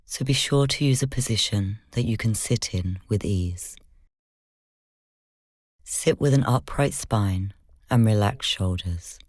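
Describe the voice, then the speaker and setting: A meditation guide speaks at a medium pitch in a gentle, measured way. The delivery is soft and instructional, with breathy, whispery touches. The recording is close and dry, free of room sound. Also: dry recording.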